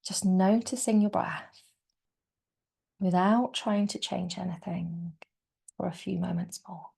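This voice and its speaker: Gently creaky woman guiding meditation. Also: lowish voice, fairly even intonation. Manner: soft, soothing, unhurried delivery with gentle pauses. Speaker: yoga nidra teacher. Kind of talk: guided meditation.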